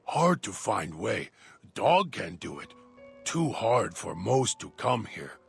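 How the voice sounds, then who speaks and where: A man doing a gravelly deranged dog-creature. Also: lowish voice, big pitch swings, heavily acted. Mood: eerie. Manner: broken third-person speech, halting. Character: deranged feral dog-creature, male, hungry. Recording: music bed underneath.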